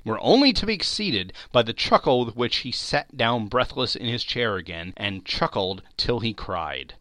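A man's voice: mid-range voice, vocal fry, bright, forceful tone, no room sound. A man narrating a classic tale in a theatrical, dramatic audiobook style. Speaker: podcast narrator.

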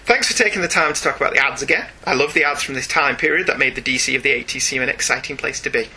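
A man's voice: mid-range voice, very fast, bright, forceful tone, slightly rough. A chatty, enthusiastic man co-hosting a podcast, talking casually and reading out listener emails. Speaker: podcast co-host. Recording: faint background noise.

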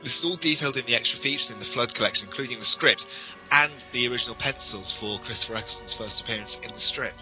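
A man's voice: mid-range voice, fast talker, dull audio, over music. A British man co-hosting a podcast, giving detailed, enthusiastic, informal explanations. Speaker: podcast co-host.

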